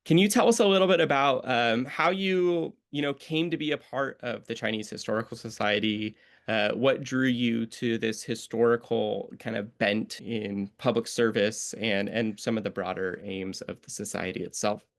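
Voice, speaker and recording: A man's voice: medium pitch, moderate pace. A man hosting an educational history podcast, introducing his guest and asking questions in a friendly, earnest way. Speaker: podcast host.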